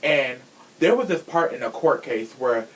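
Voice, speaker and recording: A man's voice: medium pitch, lively intonation, measured pace, poor audio. A man hosting a podcast in casual true crime chat, sassy and blunt, swearing freely. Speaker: podcast host.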